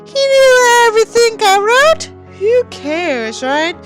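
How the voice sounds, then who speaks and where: A woman puts on a melodramatic, self-righteous teenage boy reading out his grievances like an audiobook. The voice is high and swings wildly, dragging out words in bitter, overwrought emphasis. Music sits behind it. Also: big pitch swings, slow, full character voice. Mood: bitter, indignant. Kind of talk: mock-dramatic audiobook reading.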